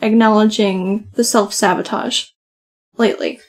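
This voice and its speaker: A young woman co-hosting a podcast at home, talking casually in a soft voice with lots of "like". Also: mid-range voice, steady tone, a little slow. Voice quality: soft-spoken. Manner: chatty, says "like" often. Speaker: podcast co-host. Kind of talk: casual late-night chat.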